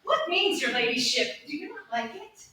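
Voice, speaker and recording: A woman playing an earnest, lovesick young gentleman in a Shakespearean comedy, with halting, flustered delivery. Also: high-pitched, sing-song, full character voice. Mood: anxious. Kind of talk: Shakespearean comedy staged reading. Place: large echoey indoor room, staged reading. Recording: echoey hall from far off, faint noise.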